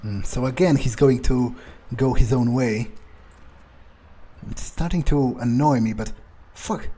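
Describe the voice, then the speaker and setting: A man role-playing young-blooded fledgling vampire, mellow dark tone, husky, hesitant, grumbling, suspicious, improvised game monologue. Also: medium pitch, measured pace. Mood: grudging, wondering. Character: resentful, suspicious fledgling male vampire thinking aloud. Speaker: gaming video player.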